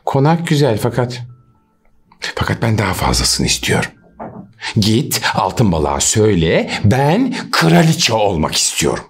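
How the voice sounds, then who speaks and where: A man voicing a greedy, haughty old woman who gives sharp, imperious orders in a storybook tale. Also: lowish voice, wildly swooping pitch, unhurried, pitched above the performer's own voice, full character voice. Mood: greedy, dissatisfied.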